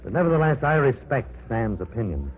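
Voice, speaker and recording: A self-assured older archaeology professor, voiced by an actor, lecturing with lively rises and falls in his voice. The sound is tinny and thin, like an old radio broadcast with a faint hiss underneath. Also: medium pitch, natural voice. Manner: authoritative, measured lecturing tone. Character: older male archaeology professor, confident, adventurous. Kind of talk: 1940s radio acting.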